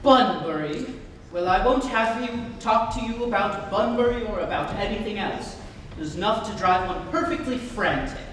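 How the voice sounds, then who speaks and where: A young man playing an exasperated, huffy young English gentleman in an amateur reading of a stage comedy. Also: sits high, lively intonation, normal speed. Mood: indignant. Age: young adult. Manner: indignant, huffy. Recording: echoey hall, distant mic, faint noise.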